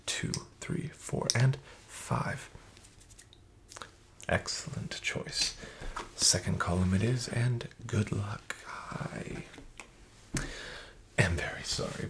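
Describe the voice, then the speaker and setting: A man in a soft-spoken ASMR roleplay as a casino dealer, half-whispering smooth, flattering patter. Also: lowish voice, takes it slowly, background noise.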